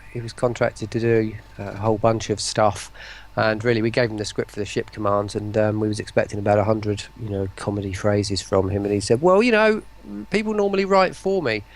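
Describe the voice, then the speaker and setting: A man chatting casually as an interview guest, speaking in a hesitant, halting, self-deprecating way. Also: mid-range voice. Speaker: interview guest, voice pack creator and writer.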